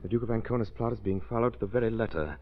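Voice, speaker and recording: An actor playing a resolute gentleman sleuth in a 1930s radio drama, giving urgent, clipped orders. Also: fairly low pitch, very fast, over music, lightly acted. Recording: dull old radio recording.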